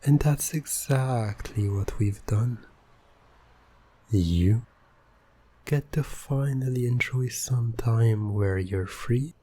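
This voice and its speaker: A man performing an intimate ASMR hypnosis roleplay as a controlling, seductive hypnotist, speaking in a hushed, commanding manner. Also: medium pitch, husky. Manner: slow, commanding, hushed, often slipping toward whispering. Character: controlling, seductive male hypnotist toying with a captive.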